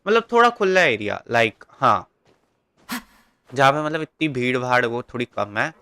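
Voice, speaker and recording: A man's voice: medium pitch, very animated, racing, pushed and bright, clean tone, dry recording. A man with a North Indian accent giving casual, rambling live game commentary that switches between Hindi and English. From India, Delhi. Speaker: game livestreamer. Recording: game music behind.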